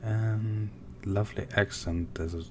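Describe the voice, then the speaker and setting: A man from Denmark with a Danish accent, casually commenting on his gameplay while reading and answering viewer chat in a soft, unhurried way. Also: lowish voice, fairly even intonation, noisy background. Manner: soft-spoken and unhurried, muttering while playing. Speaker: gaming streamer.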